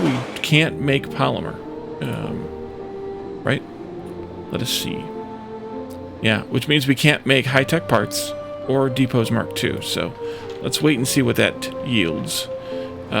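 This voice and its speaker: A man's voice: medium pitch, gravelly. A man giving casual let's play commentary, thinking aloud and musing to himself as he plays.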